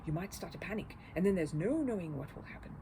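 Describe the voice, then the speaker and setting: A woman voicing a distinguished, smooth-talking gentleman swindler who speaks confidingly, in a storybook reading. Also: on the low side, animated, fast, background noise, close to an everyday voice. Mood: hushed warning. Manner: confiding, approving, hasty.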